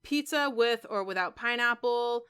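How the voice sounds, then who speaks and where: A woman's voice: fairly high pitch, a little slow, fairly bright tone, clean tone. A woman chatting casually to her viewers as a vlogger, rambling and conversational with emphatic asides. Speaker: YouTuber and game streamer. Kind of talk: casual vlog chat to viewers.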